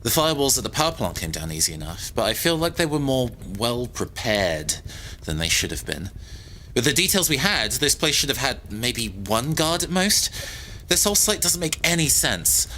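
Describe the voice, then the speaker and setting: A man's voice: medium pitch, very animated, moderate pace, pushed and bright, a little hiss or hum, low-quality recording. A young man playing an anxious, inexperienced tech genius in an audio drama, speaking hesitantly and uneasily. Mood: puzzled, analytical.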